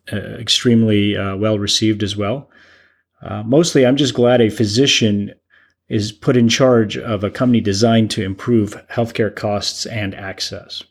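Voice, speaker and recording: A man hosting a podcast, introducing topics and interviewing guests in a somewhat halting way. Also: on the low side. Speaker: podcast host, physician.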